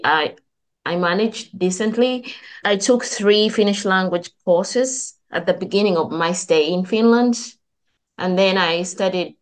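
Woman, earnest and conversational. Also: lowish voice. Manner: conversational, with earnest restarts and self-corrections. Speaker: guest, nursing student. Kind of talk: casual interview talk.

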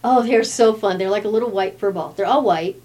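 A woman's voice: medium pitch, a little fast, darkish tone. A woman in her fifties, a guest who grew up in Brazil with Portuguese as her first language, chatting casually and laughing often. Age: 50s. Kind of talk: casual chat.

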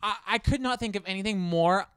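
A woman's voice: mid-range voice, a bit bright, clean tone, dry recording, studio quality. A woman co-hosting a podcast, chatting casually and ranting with strong opinions. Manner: heated, opinionated, fast rants. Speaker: podcast co-host. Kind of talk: casual chat about reality TV.

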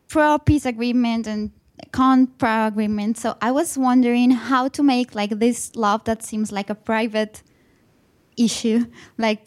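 Woman asking a question. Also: a little high. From Colombia. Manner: searching for words, with frequent "like". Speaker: law student asking a question.

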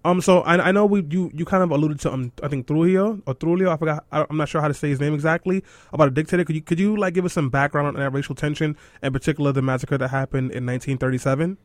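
A man hosting a radio show, asking questions in a halting way with restarts. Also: on the high side, fairly even intonation, dry recording. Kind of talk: interview questions.

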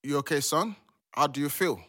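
A man's voice: on the high side, moderate pace, fairly bright tone. A man acting a middle-aged father, firm but understanding, in a modern audio drama. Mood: concerned.

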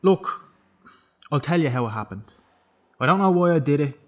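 A man acting in an audio drama as a remorseful imprisoned killer confessing, halting and full of self-loathing. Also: a little high, lively intonation, lightly acted. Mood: defensive, halting. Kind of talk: naturalistic radio drama acting.

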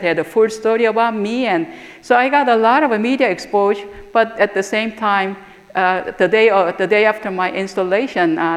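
A middle-aged woman from Korea with a Korean accent, a theological school dean giving an earnest, reflective talk and often pausing with "uh". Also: mid-range voice. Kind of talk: personal talk or lecture. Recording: echoey hall with long reverb.

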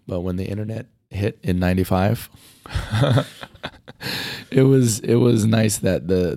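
Middle-aged male guest, low dark voice, hoarse and fading, talks in loose rambling answers. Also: no room sound, clean studio sound. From Hawaii. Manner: rambling, many fillers and restarts. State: losing his voice. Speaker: motion design educator. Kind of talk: conversational interview answers.

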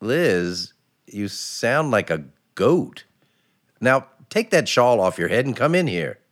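A man's voice: mid-range voice, sing-song, slow pace. A man playing an exasperated, flustered husband in 1940s radio comedy style. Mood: indignant.

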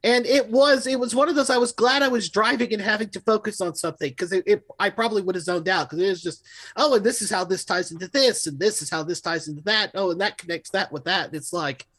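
A man's voice: high-pitched, fast, fairly bright tone. A man hosting a casual panel review, talking in a wry, rambling way and often qualifying what he says. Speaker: podcast host reviewing audio dramas.

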